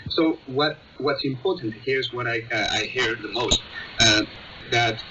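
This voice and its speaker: A man reporter giving halting commentary as a guest in a radio interview, often repeating and rephrasing. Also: mid-range voice. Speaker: news reporter as panel guest. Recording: muffled shortwave radio, heavy static, distant. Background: loud shortwave static.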